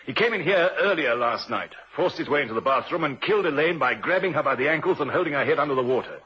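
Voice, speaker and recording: An actor playing a shrewd, unhurried police inspector in an old-time radio drama, a man with a measured, probing, quietly assured manner. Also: fairly high pitch, lively intonation, breathy edge. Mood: explaining. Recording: muffled vintage radio recording.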